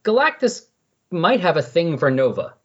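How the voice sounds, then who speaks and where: A man's voice: on the high side, very animated, normal speed, slightly rough. A man hosting a podcast in casual chat, rambling and correcting himself as he recaps, on a dull, lo-fi recording. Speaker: podcast host. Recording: dull, lo-fi sound.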